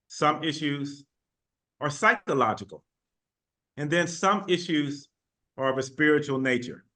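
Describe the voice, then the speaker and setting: Bright-voiced American man speaking on a panel. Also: medium pitch. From United States. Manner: earnest, emphatic, drawn-out stresses, frequent "uh". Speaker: university vice chancellor, panelist. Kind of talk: panel talk over video call.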